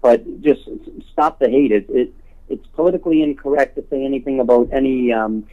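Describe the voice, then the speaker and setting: A man speaking as a guest in a telephone interview, earnest and halting, often repeating words as he makes his case. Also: medium pitch, phone-quality sound. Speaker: interview guest, homelessness awareness activist. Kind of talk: telephone interview, spontaneous advocacy talk. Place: on a telephone call.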